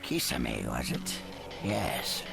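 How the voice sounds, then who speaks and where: An actor playing a stern, scornful old village leader in a dramatic video game dub, with a gravelly, commanding voice. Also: male voice, a bass voice, sing-song, extremely slow, exaggerated voice. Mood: grim. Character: stern gruff old male village leader, a seasoned ninja. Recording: loud music under the voice.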